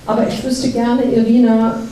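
A woman moderating a panel talk, brisk with a few fillers as she introduces a question. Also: fairly high pitch, flat delivery, warm tone. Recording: echoey hall from far off, faint noise.

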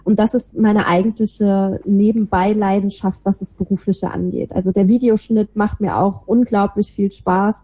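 A woman with a warm, mellow voice that is a little husky talks casually as an interview guest. She is chatty and self-deprecating as she tells about herself. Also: mid-range voice, fairly even intonation, normal speed, hardly any pauses. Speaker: interview guest, podcaster and small creative agency owner. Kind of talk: casual chat, telling about own life and work.

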